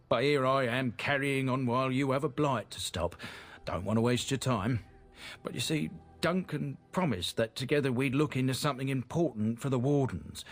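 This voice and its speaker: A man's voice: medium pitch, natural voice. Folksy, earnest fantasy merchant, played by a man, music behind. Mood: friendly, eager. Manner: folksy, chatty, inverted phrasing. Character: male merchant of a proud disgraced family, earnest, chatty. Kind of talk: fantasy video game dialogue. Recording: game audio with clear music behind. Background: game music.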